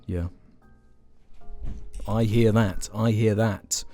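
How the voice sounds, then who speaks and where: A man's voice: lowish voice, steady tone, drawn-out words, mellow, soft background music. A young man co-hosting a podcast, chatting casually and reading listener questions aloud. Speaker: podcast co-host.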